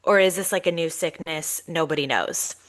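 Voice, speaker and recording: A woman in her thirties, congested and nasal, co-hosting a podcast and telling a story in a lively, chatty way. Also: sits low, moderate pace. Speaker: podcast co-host. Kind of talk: casual storytelling chat.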